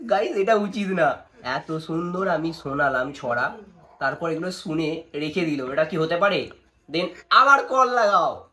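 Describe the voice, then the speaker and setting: A man's voice: fairly high pitch, expressive, measured pace, sharp tone. A young man with a West Bengali accent chatting to viewers and making prank calls in a playful, mock-dramatic, pleading manner. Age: young adult. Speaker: prank-calling vlogger. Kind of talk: casual vlog chat and prank phone calls.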